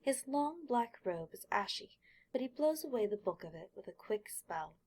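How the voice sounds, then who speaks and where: A woman with a slightly husky voice reading scripted fiction as an audiobook narrator. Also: medium pitch, sing-song, moderate pace, a little mellow.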